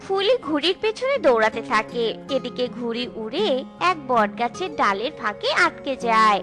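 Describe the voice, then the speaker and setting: A woman narrating a children's cartoon in a calm, storytelling manner. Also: fairly high pitch, normal speed, faint music behind.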